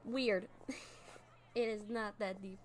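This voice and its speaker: A woman's voice: mid-range voice, fairly even intonation, takes it slowly. A woman chatting casually as she streams a video game. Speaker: let's play streamer. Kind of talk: casual gaming chat. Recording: game music behind the voice. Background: video game music.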